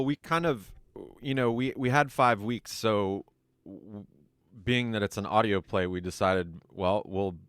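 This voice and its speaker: A man speaking as an audio designer in a conversational panel, explaining things in a halting, measured way. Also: low-pitched, smooth, no room sound.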